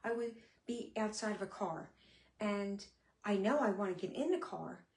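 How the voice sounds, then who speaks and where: A middle-aged woman talking candidly on a live stream, her speech sometimes a little slurred, with many pauses and fillers. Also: medium pitch, a little mellow. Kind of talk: casual live-stream talk. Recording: echoey room.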